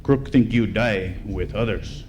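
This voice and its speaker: Guy acting stoic western companion, slow broken english, old slightly noisy radio recording. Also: on the low side, darkish tone, close to an everyday voice. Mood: grave.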